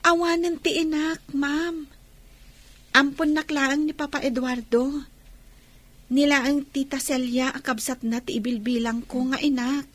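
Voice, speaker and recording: A woman's voice: high voice, level tone, unhurried. A woman playing a cheerful, affectionate young adopted daughter in a Philippine radio drama. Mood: earnest, affectionate.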